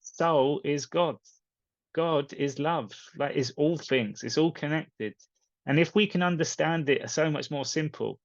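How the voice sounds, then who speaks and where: A man's voice: on the high side, unhurried, dry recording. Man in casual talk, dull dry recording. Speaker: spiritual coach and video host. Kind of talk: casual book recommendation talk to viewers.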